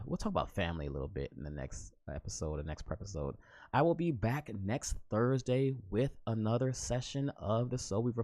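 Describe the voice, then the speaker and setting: An American man in his thirties hosts a podcast alone, his voice low and relaxed. He rambles casually, circling back on his words with "like" and "you know" and slipping into "ain't." The sound is dry and close. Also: dry recording. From United States. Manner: rambling, with many restarts and "like" fillers; informal, drops into "ain't" slang. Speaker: podcast host. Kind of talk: casual solo podcast monologue. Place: home, living in Korea.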